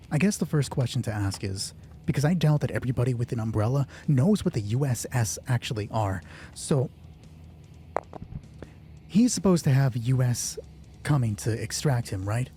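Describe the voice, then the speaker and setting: A man narrating a solo tabletop roleplay and thinking aloud in a casual, sweary way, with a husky voice. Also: mid-range voice, mellow, slight background noise. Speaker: solo roleplay game master. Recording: background music under the voice. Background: music.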